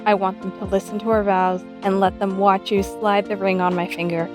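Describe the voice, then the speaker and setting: A woman's voice: mid-range voice, little pitch movement, fairly quick, over music, natural voice. A woman playing a devoted, eager young sweetheart in a romantic audio drama, sincere and affectionate. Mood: joyful, tender.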